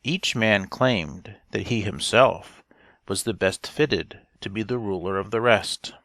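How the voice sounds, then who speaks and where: A man narrates a tale as an audiobook reader. His delivery is slow and deliberate, with a fairly low voice that has a creaky edge. The sound is dry, with no room on it. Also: fairly bright tone, no echo. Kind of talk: scripted storybook narration.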